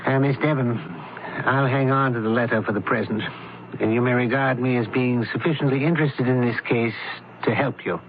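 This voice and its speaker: An actor playing a brusque, commanding, brainy doctor who investigates cases, clipped and dryly probing, in a radio mystery drama. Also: male voice, medium pitch, fairly even intonation, fairly quick, lightly acted. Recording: muffled, noisy old AM radio broadcast.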